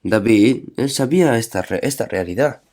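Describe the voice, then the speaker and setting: A man's voice: medium pitch, expressive, normal speed, warm tone. Man preaching with constant rhetorical check-ins. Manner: checks in with listeners through frequent tag questions; storytelling, rhetorical questions. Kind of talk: sermon with scripture readings.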